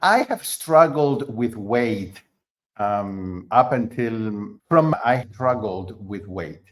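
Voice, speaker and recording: A middle-aged man from Argentina, a concert pianist being interviewed, who talks in a halting way with many fillers and restarts. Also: fairly low pitch, expressive. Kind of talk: conversational interview.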